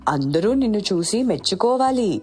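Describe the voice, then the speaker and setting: A woman's voice: mid-range voice, lively intonation, normal speed, a little mellow, faint music behind, natural voice. A woman playing a poor, humble village mother in a story cartoon, with a plaintive and earnest manner. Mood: gentle urging. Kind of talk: dramatized moral story dialogue.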